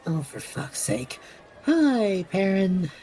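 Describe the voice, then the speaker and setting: A woman's voice: mid-range voice, big pitch swings, takes it slowly, dark tone. A woman playing a brash, sarcastic young wanderer in an audio drama, talking casually. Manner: casual, flippant. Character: brash, sarcastic young wanderer named Trevor, warm toward kids.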